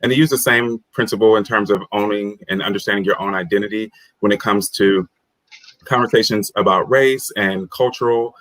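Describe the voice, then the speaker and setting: A man from the United States, a guest author and career coach, answering interview questions in a measured, reflective way. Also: lowish voice, a bit bright, no room sound, poor audio.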